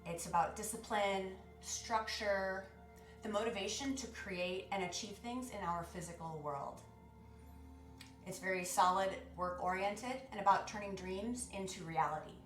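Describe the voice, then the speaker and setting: A woman's voice: mid-range voice, measured pace. Woman guiding a meditation, roomy echo. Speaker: guided meditation and sound bath host. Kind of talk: guided meditation, spiritual talk to listeners. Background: music.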